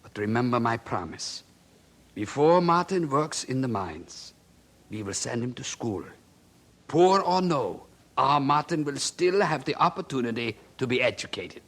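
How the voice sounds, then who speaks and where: A man's voice: medium pitch, lively intonation, measured pace, a bit bright. A man acting in a radio drama as a hardworking, poor miner father, earnest, hopeful and emphatic in his delivery. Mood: weary, resolute.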